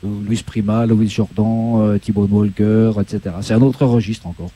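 A man in his sixties with a dark, mellow voice answers questions in a relaxed, plain-spoken way. He is a guest singer-guitarist talking about his bands on a studio set. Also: on the low side, normal speed. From Belgium, raised in Corsica. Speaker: guest musician.

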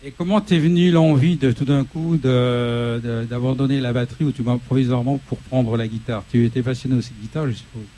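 A man's voice: mid-range voice, steady tone, a little fast, few pauses, warm tone. A man hosting a studio interview who keeps hesitating and restarting his sentences. He sounds like a live local show presenter talking to a guest musician on set. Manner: hesitant, many fillers and restarts.

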